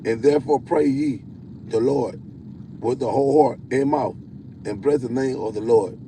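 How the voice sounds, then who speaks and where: A man with a deep voice preaching on a livestream. He reads scripture slowly, stumbling and repeating words. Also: mellow, slight background noise, rough recording. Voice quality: self-described deep voice. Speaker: self-styled prophet hosting a livestream.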